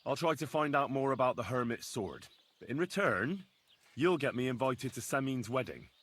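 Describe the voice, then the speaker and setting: Young medieval lad, cheeky, loyal, mid-pitched, animated intonation, wry, plain-spoken game dialogue. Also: male voice, normal speed. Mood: cheeky, keen. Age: young adult. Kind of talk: video game dialogue acting.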